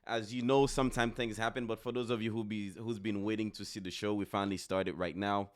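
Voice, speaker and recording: Man, podcast host, from Haiti, upbeat, chatty, repeats words, fillers, smooth resonant voice. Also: lowish voice, little pitch movement, fairly quick. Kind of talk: casual podcast hosting.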